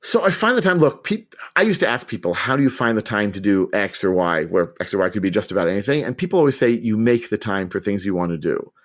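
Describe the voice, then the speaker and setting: A middle-aged man answering interview questions with chatty enthusiasm and quick restarts. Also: lowish voice, lively intonation. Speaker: podcast guest.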